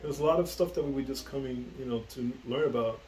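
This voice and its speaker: A man's voice: mid-range voice, fast talker, a little mellow, reverberant, low-quality recording. A middle-aged man from the Bronx, talking as the guest in a casual interview, rambling with many fillers and restarts. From the Bronx, New York. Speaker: guest, comic book writer. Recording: faint background noise.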